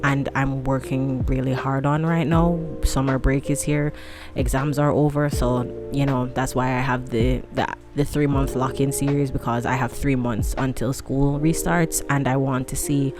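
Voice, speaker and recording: A woman's voice: rumbling low, fairly even intonation, normal speed, music in the background. A woman in her late twenties chatting casually to camera at home, rambling and self-deprecating, a fan running nearby. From tropical country. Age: 20s. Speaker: vlogger.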